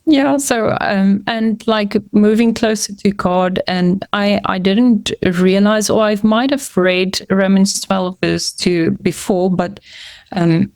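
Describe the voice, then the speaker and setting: A woman's voice: medium pitch, fairly even intonation, slow, darkish tone, clean tone, no room sound. A middle-aged woman whose first language is Afrikaans, a podcast guest talking casually and hesitantly, with many fillers and restarts. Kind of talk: casual conversational interview.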